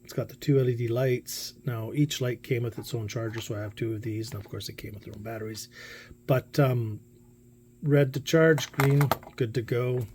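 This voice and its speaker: A man's voice: mid-range voice, fairly even intonation, moderate pace, a little mellow, slight background noise. A man giving a casual, unscripted product review in a plainspoken, hesitant way, thinking aloud as he goes.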